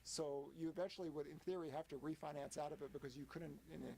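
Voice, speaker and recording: A man in his early forties explaining things as a guest, halting and restarting his sentences often. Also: on the high side, fairly even intonation, a crowd in the background. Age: 40s. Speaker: guest analyst. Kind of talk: casual interview explanation.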